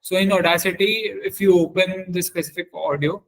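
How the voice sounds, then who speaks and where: Guy with a kinda high voice doing a software demo for a class, keeps stopping to find his words. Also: steady tone. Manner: halting, searching for words. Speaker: webinar trainer. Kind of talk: software demonstration lesson.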